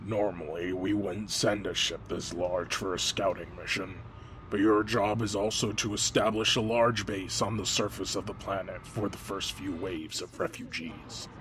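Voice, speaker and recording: A man acting as an authoritative military general in a sci-fi audio drama, speaking in a formal, measured, solemn way. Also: low voice, steady tone, a bit bright, a little hiss or hum. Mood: firm, businesslike.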